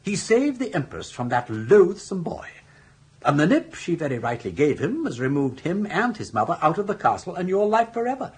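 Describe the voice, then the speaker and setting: An actor playing a suave, roguish older gentleman with an upper-class English accent, speaking in flowery, persuasive phrases in a British radio comedy. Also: on the high side, very animated, moderate pace, breathy edge, exaggerated voice. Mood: coaxing.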